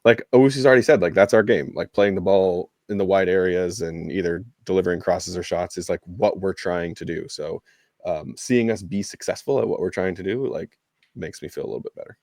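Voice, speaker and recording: Low-voiced man, wry sports podcaster. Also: brisk, gravelly, darkish tone, no room sound, clean studio sound. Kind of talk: casual soccer chat.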